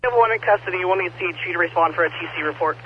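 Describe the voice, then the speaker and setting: A man's voice: high voice, rapid-fire, highs cut off. A man reporting as a police officer over two-way radio in terse, procedural phrases. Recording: noisy police scanner radio from the field.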